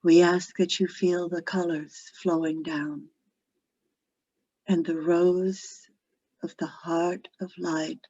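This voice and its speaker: A woman leading a guided meditation in a gentle, soothing, unhurried way, phrase by phrase with long pauses. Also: on the low side, flat delivery. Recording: dull lo-fi audio, roomy echo.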